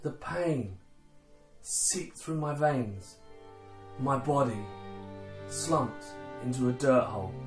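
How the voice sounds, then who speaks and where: An actor playing a dutiful, war-weary army sergeant in a radio play, speaking gravely in measured short phrases. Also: male voice, medium pitch, expressive. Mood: fading, pained. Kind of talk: radio play acting, dramatic monologue. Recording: roomy, distant mic, loud music.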